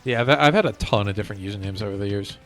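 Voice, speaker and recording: A man's voice: lowish voice, very fast, fairly bright tone, faint music behind. A man chatting casually on a gaming live stream, rambling as he hops from one thought to the next. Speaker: game streamer.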